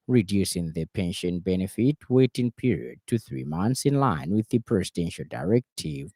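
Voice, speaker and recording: A man with a Zambian accent reading a scripted radio news bulletin in a formal, measured manner. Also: on the low side, creaky, warm tone, dry recording, clean studio sound. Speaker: news reader. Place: radio studio.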